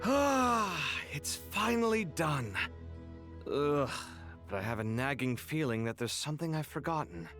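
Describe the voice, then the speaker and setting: An actor playing a young male scholar in a game cutscene, expressive and quick to protest. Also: fairly high pitch, faint music behind. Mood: weary, friendly. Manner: animated, exasperated, quick to protest.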